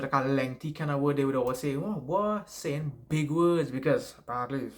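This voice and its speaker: A young man with a Trinidadian accent giving casual, rambling advice to camera, swearing and tagging sentences with "right?". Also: medium pitch. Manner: rambling, profane, frequent "right?" tags. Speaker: self-help vlogger.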